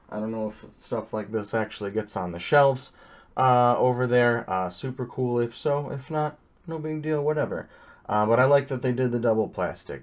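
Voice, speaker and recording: A man's voice: fairly low pitch, darkish tone. A man casually talking through a toy unboxing, rambling easily with many ums and uhs.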